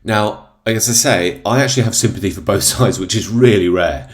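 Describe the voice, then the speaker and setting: A man's voice: lowish voice, moderate pace. A man in his thirties with a cold-stuffed voice, hosting a thoughtful spiritual commentary in a rambling, reflective way. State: congested from a cold. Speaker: channel host.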